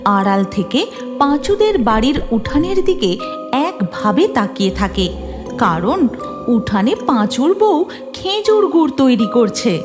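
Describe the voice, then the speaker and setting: A woman's voice: a little high, big pitch swings, measured pace. A woman narrating a scripted cartoon story expressively, with music behind her.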